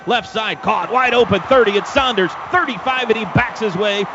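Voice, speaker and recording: Male American radio football announcer, highish voice, excitable clipped calls, over loud stadium noise. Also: normal speed, highs cut off. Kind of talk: live American football play-by-play commentary. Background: loud stadium ambience.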